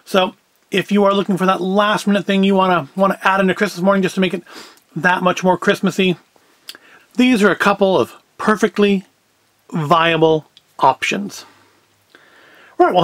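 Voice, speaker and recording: A man's voice: sits high, steady tone, normal speed, a bit bright, no echo. A man, chatting casually through a food review in a wry, self-deprecating, rambling way. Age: 40s. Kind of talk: casual review chat to camera.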